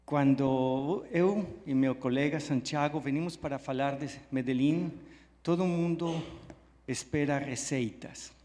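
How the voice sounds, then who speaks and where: Man from Belgium, French and Spanish accent, mid-pitched voice, enthusiastic conference talk, long hall reverb. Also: a little mellow. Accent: French and Spanish, speaking Portuguese mixed with Spanish. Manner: friendly, enthusiastic, mixing Spanish words into Portuguese. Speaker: conference speaker. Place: large hall at a live event. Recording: echoey hall sound.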